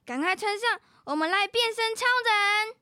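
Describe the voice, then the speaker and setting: A woman's voice: extremely high pitch, normal speed, pushed and bright, slight background noise. A young girl acting in a children's radio drama as a bossy, scheming big sister. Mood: coaxing. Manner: bossy, coaxing.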